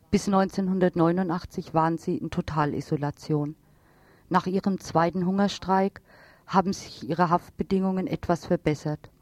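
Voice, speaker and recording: Low-voiced woman reading a serious radio report. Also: darkish tone, no echo. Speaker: radio news presenter. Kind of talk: scripted news report read aloud.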